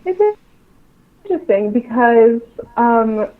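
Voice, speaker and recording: A woman's voice: fairly high pitch, sing-song, slow, narrow, tinny audio. A woman answering interview questions as a publisher, explaining things casually over a remote call.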